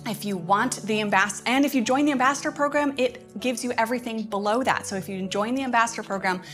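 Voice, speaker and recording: Chatty, enthusiastic woman hosting over music. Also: medium pitch. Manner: chatty, enthusiastic, often chuckling. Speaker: host of a curriculum update livestream. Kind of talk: casual livestream announcements.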